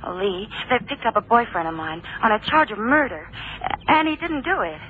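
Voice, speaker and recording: An actress playing a detective's worried, loyal secretary in a radio drama, earnest and hesitant with a husky voice. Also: female voice, on the high side, very animated, normal speed, background noise. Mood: anxious. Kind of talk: 1960s-70s radio drama acting. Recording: tinny phone-like vintage radio drama.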